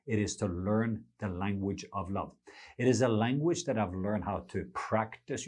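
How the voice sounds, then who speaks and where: A middle-aged man with a Norwegian accent speaks slowly and earnestly, as if teaching a congregation. His voice sits low and has a husky, breathy edge. His English carries the slightly unusual phrasing of a second language. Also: a little mellow. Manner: earnest, slow and deliberate, with non-native phrasing. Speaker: preacher and podcast host. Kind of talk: unscripted teaching monologue.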